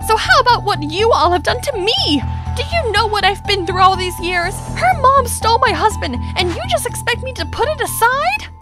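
Woman voicing a high-pitched, dramatic young woman storyteller. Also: animated, moderate pace, over loud music. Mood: indignant. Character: dramatic, outspoken young woman narrating her animated life story. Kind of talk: scripted first-person story narration.

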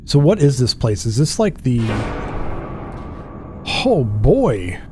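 A man's voice: mid-range voice, very animated, slow pace, over music. A man in his thirties giving casual let's play commentary, thinking aloud in a jittery, self-deprecating way. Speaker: gaming video host.

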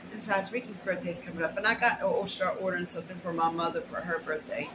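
A woman's voice: on the low side, fairly even intonation, quick pace. A middle-aged woman chatting casually and colloquially in a folksy way while unboxing purchases in a room at home. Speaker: haul vlogger. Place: small room at home, indoors. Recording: noisy home camera recording.

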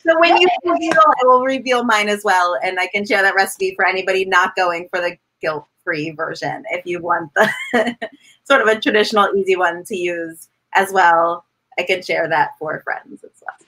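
A woman in her thirties chats about baking and laughs between thoughts. Her voice is fairly low but has a bright, forward edge. She is heard over a webcam mic in a home kitchen. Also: normal speed, rough recording. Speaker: pastry baker guest. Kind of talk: casual chat on a video call.

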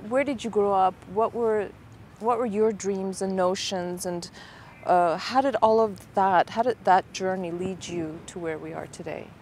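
A middle-aged woman hosting a television interview in a park, asking measured questions. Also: mid-range voice, fairly even intonation, a little hiss or hum. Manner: measured, warm-up questions with small pauses. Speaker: interview host. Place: outdoors in a city park.

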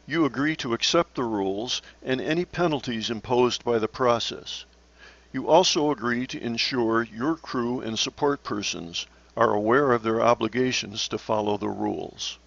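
A man narrating scripted slides as an instructor, in a measured, explanatory manner. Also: mid-range voice, gravelly, fairly bright tone, dull audio. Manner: measured, instructional, poses questions to the learner. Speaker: sailing instructor.

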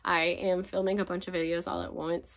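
A young woman gushes about her favorite books in a casual home vlog. Her voice sits a little low and keeps cracking as she gets over an illness. She fills her talk with "like" and "y'all.". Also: little pitch movement, a little fast. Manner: chatty, gushing, frequent fillers and laughs. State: voice cracking after being sick. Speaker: book reviewer. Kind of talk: casual book recommendation vlog. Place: home room with bookshelves, indoors.

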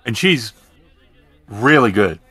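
A man's voice: medium pitch, very animated, slow, a bit bright, slightly rough, no room sound, some chatter behind. A man improvising descriptive narration as the storyteller of a tabletop roleplaying game. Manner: descriptive, explanatory.

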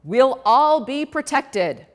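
A proud storybook frog mayor, as a woman reader puts him on. He is hearty and given to grand proclamations. The delivery rises and falls in an animated way. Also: full character voice. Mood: booming welcome. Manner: booming, drawn-out proclamations.

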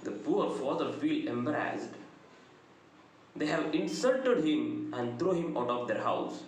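Very echoey South Indian man lecturing slowly. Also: a little high, lively intonation. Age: middle-aged. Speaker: English lecturer. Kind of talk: lecture retelling a play. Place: small bright indoor room. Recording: very echoey room, faint background noise.

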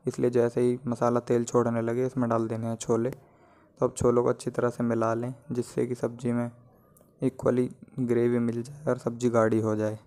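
A man's voice: mid-range voice, completely flat delivery, moderate pace, warm tone. A man presenting a recipe as a home cook, explaining it step by step in a plain instructional voice-over. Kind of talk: step-by-step cooking tutorial voice-over.

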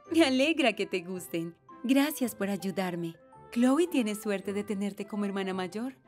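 A woman voicing a gentle, affectionate young mother in a children's cartoon dub, tender and encouraging. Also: mid-range voice, animated, slow pace, soft background music. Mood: affectionate, proud.

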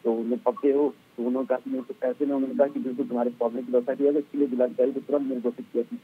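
Man, middle-aged, husky, muffled narrow recording, wordy live news report. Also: mid-range voice, flat delivery, very fast. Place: outdoors outside a government office. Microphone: handheld microphone. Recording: muffled, narrow-band live outdoor link.

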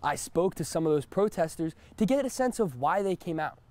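A man's voice: fairly high pitch, expressive, fairly quick, darkish tone. A young man with an American accent doing a news reporter's stand-up outdoors. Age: young adult. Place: outdoors in front of a school building.

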